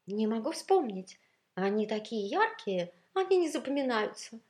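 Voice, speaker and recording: A woman voicing an elegant, bashful, dejected female shawl in a shy hushed manner, in a storybook reading. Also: on the high side, very animated, normal speed, pitched above the performer's own voice. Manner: shy, half-whispered.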